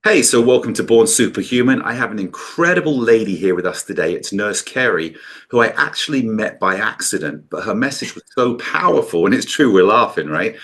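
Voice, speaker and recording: A man with a fairly low voice hosts an interview podcast. He talks with energetic enthusiasm as he introduces his guest and asks his questions. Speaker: podcast host.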